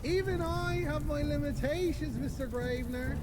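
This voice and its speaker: A man's voice: extremely high pitch, moderate pace, noisy background. An actor playing a cowardly, foppish male vampire with a prissy, mannered way of talking, in a video game cutscene. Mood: prim.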